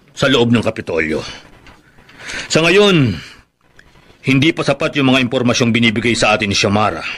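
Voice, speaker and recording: An old grandfather plotting politics in a Filipino radio drama. He is commanding and calculating, in a medium-pitched voice. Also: a bit bright.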